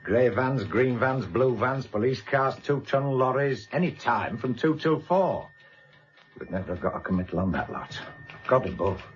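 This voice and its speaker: An actor playing a gruff, sardonic senior British police inspector, bluntly probing in a radio drama. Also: male voice, mid-range voice, lively intonation, moderate pace, dull audio, faint music behind, natural voice. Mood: exasperated. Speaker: police inspector questioning suspects and directing subordinates.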